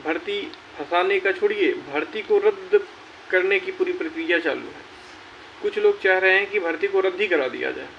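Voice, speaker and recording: A man's voice: high voice, moderate pace, gravelly. Urgent, exhorting man on lo-fi audio. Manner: urgent, exhorting, repeats phrases for emphasis. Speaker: YouTube channel host. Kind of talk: rallying address to job candidates. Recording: dull lo-fi sound with faint hiss.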